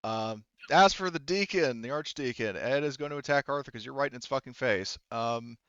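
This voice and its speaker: Smooth-voiced man running a tabletop game. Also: medium pitch, moderate pace, a bit bright, no room sound, dull audio. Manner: casual, profane. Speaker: game master. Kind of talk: casual tabletop game narration.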